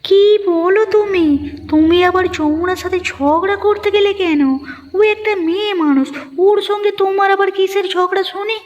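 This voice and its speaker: A woman's voice: very high-pitched, moderate pace, big-room sound, a little hiss or hum. A woman voicing a concerned village wife in a cartoon, plaintive and full of questions. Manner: plaintive, inquisitive. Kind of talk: cartoon dialogue acting. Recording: muffled narrow-band audio with music.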